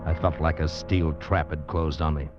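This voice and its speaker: An actor playing a scheming, greedy man in a vintage radio drama, in a hard-boiled confessional and smoothly persuasive way. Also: very deep voice, steady tone, moderate pace. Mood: brooding, cold. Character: scheming, greedy man narrating his murderous affair. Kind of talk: 1940s-50s radio drama acting. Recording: dull vintage radio recording with music.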